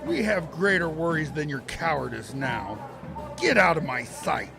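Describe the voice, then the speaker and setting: A man's voice: on the high side, expressive, measured pace, vocal fry, music in the background. A man playing a scornful Roman army commander in an audio drama, barking his words with contempt.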